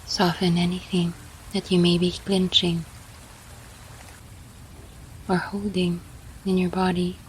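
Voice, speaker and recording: A woman guides a sleep meditation in a soothing, breathy half-whisper. Her voice sits slightly low and stays very even, with an unhurried, slow delivery. Soft rain plays faintly behind her. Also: slight background noise, rough recording.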